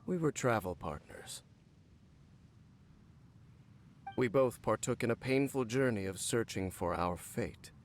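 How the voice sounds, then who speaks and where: Low, solemn cursed knight played by a man. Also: expressive, a little hiss or hum, lightly acted. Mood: grave, guarded. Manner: formal, measured, solemn speech. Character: brooding, cursed centuries-old former royal guard captain, grave and guarded. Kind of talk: video game character dialogue. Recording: game audio with background music. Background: game music.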